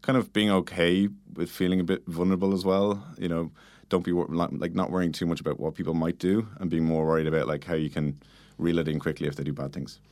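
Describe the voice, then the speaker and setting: Guy with a really low voice chatting quick in an interview, super informal, dry close sound. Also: little pitch movement, darkish tone, dry recording. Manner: informal, filler-heavy explaining with frequent "like" and "you know". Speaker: interview guest, product manager. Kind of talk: casual conversational interview answers.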